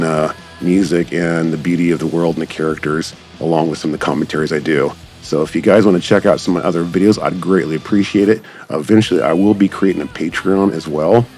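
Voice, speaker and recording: Male gaming creator, deep voice, casual enthusiastic rambling commentary, music underneath. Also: darkish tone. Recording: background music behind the voice.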